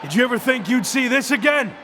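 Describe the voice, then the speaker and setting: An actor playing a cocky wrestler who cuts an emphatic, boastful ring promo in a game cutscene. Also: male voice, very high voice, animated, brisk, crowd noise, background noise. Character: cocky young male wrestler making a comeback.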